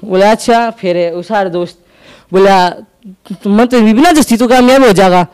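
A young man with a Haryanvi accent doing stand-up storytelling on an indoor stage into a handheld mic, acting out quick back-and-forth dialogue. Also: high voice, darkish tone, clean tone. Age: young adult. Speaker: stand-up comedian. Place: indoor stage with curtain backdrop.

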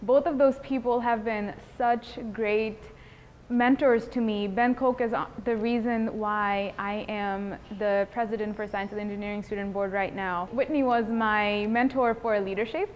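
A woman's voice: medium pitch, fairly even intonation, unhurried, highs cut off, slight background noise. A woman of about twenty from Bangladesh, speaks earnestly and articulately as a student giving an interview testimonial. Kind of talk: interview testimonial, semi-prepared talk. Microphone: lapel mic.

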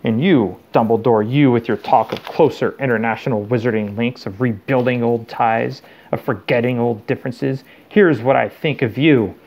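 A man's voice: medium pitch, normal speed, few pauses, darkish tone, slight background noise, lightly acted. A man playing a pompous, suspicious wizard headmaster in an audiobook, declaiming with blustering indignation. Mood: outraged.

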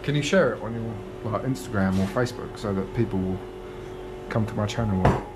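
A man's voice: on the low side, brisk, over music, poor audio. A young man, chatting casually and explaining as he cooks in his home kitchen, filmed on a close handheld camera. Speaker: vlogger. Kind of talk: casual vlog chat while cooking. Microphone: handheld camera held close. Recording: home kitchen with sizzling pan noise. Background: frying sizzle.

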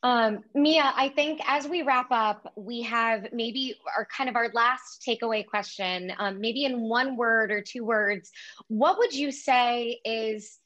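A woman's voice: fairly high pitch, slow, a bit bright, highs cut off. A woman in her thirties hosting an interview over a video call, encouraging and affirming as she asks questions. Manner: encouraging, frequent affirming backchannels. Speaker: interview host. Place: home room with framed pictures. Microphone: laptop camera on video call.

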